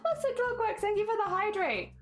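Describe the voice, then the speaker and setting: A woman's voice: extremely high pitch, very animated, a little fast. A woman streamer with a mixed British accent that blends southern English, Midlands and Welsh. She chats casually with her viewers, rambles from point to point and laughs often.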